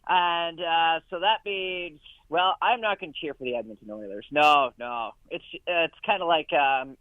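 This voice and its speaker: A man phoning in a casual weather forecast, chatty and halting with fillers. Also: a little high, clean tone, dry recording. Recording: tinny dry phone line.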